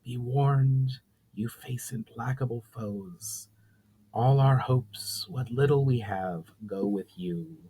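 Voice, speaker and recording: A man voicing a solemn, cryptic female spirit of fire in a dramatic fantasy reading, with formal, measured, old-fashioned speech. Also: mid-range voice, warm tone, a little hiss or hum, lightly acted, poor audio. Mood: ominous, solemn. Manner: formal, measured, archaic phrasing.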